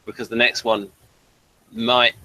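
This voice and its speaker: A man co-hosting a casual unscripted chat, halting and repeating his phrases as he goes. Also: mid-range voice, level tone, pushed and bright, no echo, low-quality recording. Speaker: podcast co-host.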